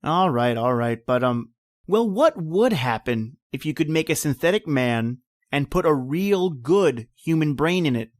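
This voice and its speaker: A man's voice: fairly high pitch, expressive, measured pace, dry recording, studio quality. A man playing an earnest, dreamy young workshop assistant in an old-time radio horror drama, with a musing manner. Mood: musing, eager.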